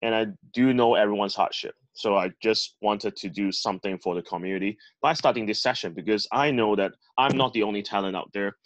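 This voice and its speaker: A man's voice: fairly low pitch, fairly quick, few pauses, no room sound, rough recording. A man hosting an online club meeting over a video call, introducing panelists in an upbeat emcee manner. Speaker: host.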